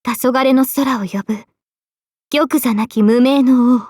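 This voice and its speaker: A woman voicing an earnest, polite young mage heroine in a Japanese video game, with a clear, youthful voice. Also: on the high side, steady tone, slow pace, darkish tone, no echo, studio quality. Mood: solemn, regal. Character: young girl mage, tomboyish, modest, earnest, later a solemn king. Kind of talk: Japanese game character voice lines.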